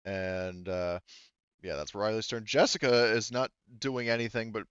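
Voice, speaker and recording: A man's voice: lowish voice, steady tone, moderate pace, a bit bright, smooth, no room sound, highs cut off. A man running a tabletop game as game master, narrating casually and thinking aloud in a hesitant way.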